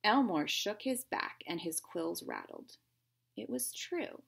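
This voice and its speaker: A woman's voice: medium pitch, huge pitch swings, slow, gravelly, fairly bright tone, slightly rough. A woman reading a picture book aloud to young children like a teacher, expressively, with simple explanations and questions along the way.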